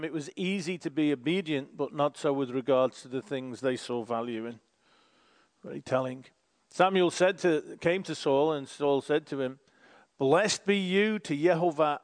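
A man's voice: on the high side, normal speed, long reverb tail. A man reading scripture aloud and reflecting on it as a bible teacher. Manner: reflective, reads quotes with emphasis.

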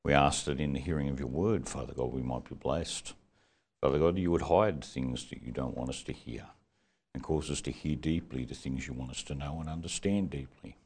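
A man with a croaky voice leading a congregation in earnest prayer and teaching. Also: a bass voice, moderate pace, a little mellow. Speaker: congregation leader.

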